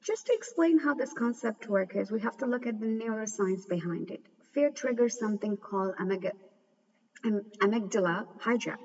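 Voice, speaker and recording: An earnest woman talks through a book's ideas at a measured pace and trips over a word now and then. Her voice sits at an ordinary pitch for a woman. She sounds set back from the microphone in a reverberant room, and the recording is a little muffled. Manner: earnest and measured, with occasional stumbles. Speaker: book review host. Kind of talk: reflective book commentary. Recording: dull, echoey recording from a distance.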